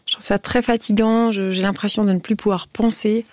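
A woman's voice: mid-range voice, steady tone, fairly quick, a little mellow, no echo, studio quality. A woman hosting a radio phone-in, chatting casually and effusively with callers in an encouraging way.

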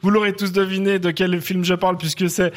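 Swiss french guy, high voice, goofy deadpan fake history story. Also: brisk. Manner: deadpan absurd storytelling, laughing at own jokes. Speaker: panelist. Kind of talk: comic storytelling quiz answer.

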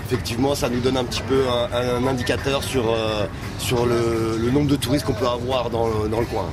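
A bar worker speaks plainly and matter-of-factly, recorded outdoors at a harbor bar. Clear music plays behind him. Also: male voice, medium pitch, fairly even intonation, fairly quick. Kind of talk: casual interview.

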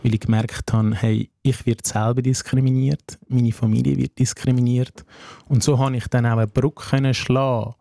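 Swiss German man, twenties, mellow and earnest interview guest, dry close sound. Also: mid-range voice, little pitch movement, normal speed, vocal fry, dry recording. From Afghanistan. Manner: earnest, searching, repeats phrases with "hey". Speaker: guest, social worker. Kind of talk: reflective interview talk.

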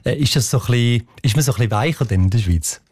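A man with a Swiss German accent and a mid-range voice hosts a morning radio show. He asks questions in a friendly, halting way, full of small fillers. The studio sound is close and dry. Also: mid-range voice, animated, darkish tone, husky, no echo. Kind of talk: casual radio interview.

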